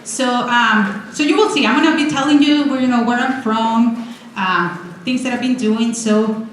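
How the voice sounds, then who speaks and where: A lively woman from Venezuela speaks with excitement, often restarting her sentences as she walks a college audience through her slides. Her voice sits a little high, and it rings out in a very echoey lecture hall with a faint hiss behind it. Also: moderate pace. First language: Spanish. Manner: excited, chatty, many restarts. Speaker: guest speaker. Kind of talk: energetic public talk with slides.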